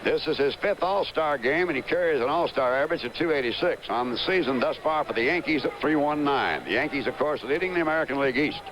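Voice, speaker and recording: A man doing play-by-play on a live baseball game, steadily calling each pitch and reeling off player statistics. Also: quick pace, few pauses. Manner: steady, stat-heavy calling of the action. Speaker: play-by-play announcer. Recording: noisy 1970s broadcast recording.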